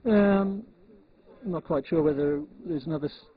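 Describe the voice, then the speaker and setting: A man chatting casually and unscripted on air, halting and repeating words as he goes, heard on muffled transmission audio. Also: fairly high pitch, a little hiss or hum. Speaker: amateur television operator.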